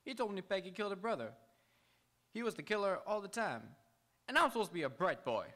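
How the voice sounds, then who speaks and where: Man playing a high-pitched hot-headed young tough. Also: very animated, fast, pushed and bright, full character voice. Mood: bitter. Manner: agitated, emphatic. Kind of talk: old-time radio crime drama acting. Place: auditorium stage, live radio theatre.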